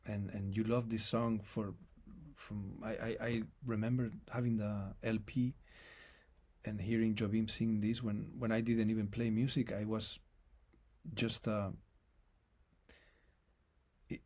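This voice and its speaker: A man with a non-native accent, speaking as an interview guest in a reflective, halting way and often restarting his phrases. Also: fairly low pitch, level tone, darkish tone. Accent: non-native English accent. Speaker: guest musician. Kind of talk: casual conversation.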